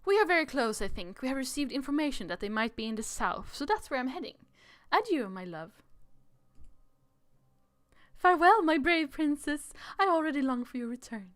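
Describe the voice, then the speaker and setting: Woman reading as earnest fairy-tale royal, higher voice. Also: very animated, normal speed, a bit bright, dry recording, studio quality. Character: earnest fairy-tale characters read from a visual novel. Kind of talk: dramatic read-aloud of visual novel dialogue.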